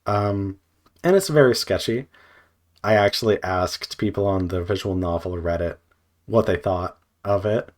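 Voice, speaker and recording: A man with a low voice speaks casually and without a script. He thinks aloud in a rambling, loosely organized way, pausing often and leaning on filler words as he feels his way through each thought. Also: a little mellow. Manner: hesitant, frequent filler "like" and trailing pauses. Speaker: video creator, indie visual novel developer. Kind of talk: unscripted casual vlog monologue.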